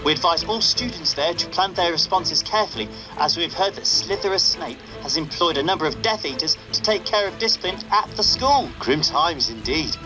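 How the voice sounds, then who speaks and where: An actor playing a secret radio host who reads the news with dry sarcasm. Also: male voice, on the high side, expressive, brisk, few pauses, natural voice, low-quality recording. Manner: wry, sarcastic newsreader. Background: game music.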